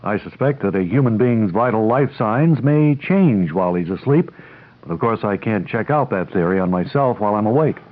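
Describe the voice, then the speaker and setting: An actor playing a self-important, obtuse young male hospital doctor, deadpan and pedantic, in a radio comedy. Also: fairly low pitch, normal speed, close to an everyday voice. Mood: defensive. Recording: tinny, band-limited old radio recording.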